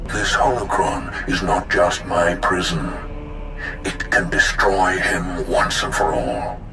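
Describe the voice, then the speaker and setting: An actor voicing the spirit of an ancient male Sith Lord in a video game, speaking in a slow, grave, commanding way. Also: deep voice, noisy background. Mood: ominous. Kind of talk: video game cutscene acting. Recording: echoing spirit voice over game score.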